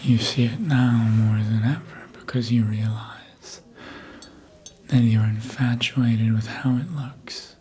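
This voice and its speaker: An actor playing a seductive, manipulative vampire in an ASMR roleplay, speaking in a breathy, often whispered voice with a deliberate, hypnotic manner, close to the mic. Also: mid-range voice, fairly even intonation, dark tone, natural voice. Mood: smug, hypnotic. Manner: slow, deliberate, hypnotic, with long pauses. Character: seductive vampire, manipulative mind-controller, calm and menacing. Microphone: close ASMR microphone.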